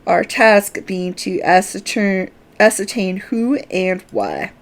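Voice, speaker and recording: A woman plays a formal, scholarly young strategist in a fantasy game. The delivery is measured and slow, with carefully articulated wording and a medium pitch. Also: big pitch swings. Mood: businesslike.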